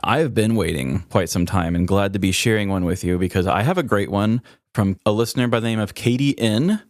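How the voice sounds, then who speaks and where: A man's voice: fairly low pitch, brisk, no room sound, clean studio sound. A man with an American accent co-hosts a podcast, bantering casually and telling stories. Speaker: podcast co-host.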